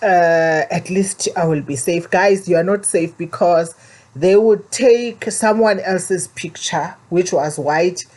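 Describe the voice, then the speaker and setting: A woman's voice: on the low side, slow pace, a little mellow. A woman with a South African accent telling viewers her own story and warning them, earnest and preachy, often repeating herself. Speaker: vlogger.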